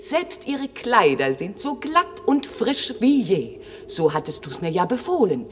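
Radio drama casting: dutiful sprite, lyrical and swooping in pitch, heard in a long echoing hall. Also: female voice, unhurried, a little hiss or hum. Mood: eager reporting. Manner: declamatory, lyrical, lively. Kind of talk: classic German radio drama, heightened verse delivery.

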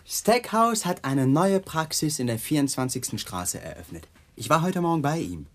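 A man's voice: fairly high pitch, big pitch swings, normal speed. An actor playing a sardonic, menacing police lieutenant in a radio drama, taunting his suspect with probing questions.

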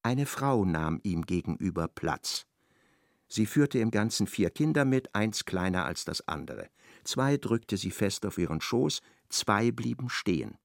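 A man narrating a literary radio play in an expressive, ironic storytelling manner. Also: fairly low pitch, measured pace, creaky, dry recording, studio quality.

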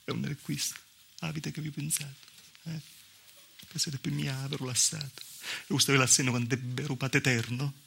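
A man's voice: mid-range voice, flat delivery, brisk, creaky, pauses often, pushed and bright, a little hiss or hum. A male stage actor playing a weary, mistreated southern Italian village wife, telling the story in a colloquial, confiding monologue with a Calabrian accent. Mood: weary, resigned. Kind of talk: theatrical monologue in dialect.

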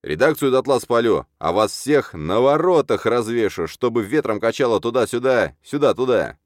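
A male narrator acts out a steppe khan from a comic historical tale. He is quick-tempered and blustering, roaring his words with wide pitch swings. The recording is dry. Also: animated, no room sound, lightly acted. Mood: threatening. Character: hot-tempered nomad steppe khan, blustering adult man.

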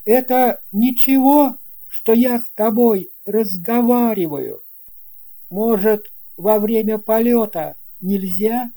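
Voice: mid-range voice, slow pace, mellow, voice pushed up. A narrator voicing a curious, polite little boy in a storybook, earnest and questioning.

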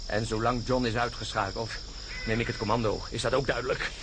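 A man's voice: mid-range voice, flat delivery, brisk, heavy background noise, close to an everyday voice. A man acting an embittered, commanding astronaut in a swamp, urgent and sarcastic, in a radio drama. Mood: stern, commanding. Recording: loud insect and jungle sound effects.

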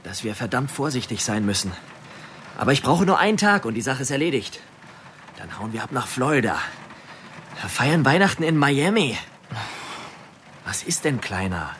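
A man's voice: mid-range voice, very animated, normal speed, long pauses, a bit bright, a little hiss or hum. An actor playing a brash, scheming criminal in a radio play, dismissive and coaxing with his partner.

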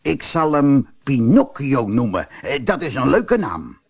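A man's voice: medium pitch, very animated, unhurried, gravelly, breathy edge, exaggerated voice. A man voicing a lonely, grumbling storybook woodcarver who talks expressively to himself and his creation. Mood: pleased. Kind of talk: storybook character voice.

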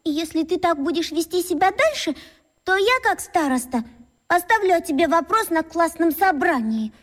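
A woman's voice: extremely high pitch, animated, moderate pace. An actress playing a strict, preachy fifth-grade schoolgirl in a children's radio play, scolding and earnest. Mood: stern, reproachful.

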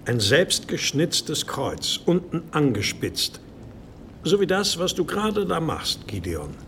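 A man playing a stern, controlled monastery abbot who speaks gravely in a German audio drama. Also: on the high side, sing-song, a bit bright, slight background noise, heavily acted. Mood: stern interrogation. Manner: grave, measured, questioning.

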